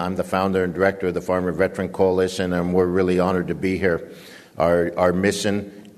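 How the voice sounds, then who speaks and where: An older man giving a conference speech, booming and halting, with many fillers. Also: big-room sound. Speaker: guest speaker, nonprofit founder and director.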